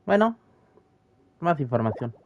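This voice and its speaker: A man's voice: a little high, very animated, moderate pace. A man giving casual, offhand, muttered commentary as he plays a video game. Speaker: gaming streamer. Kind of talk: casual Spanish-language gameplay commentary. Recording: dull lo-fi microphone. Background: game music.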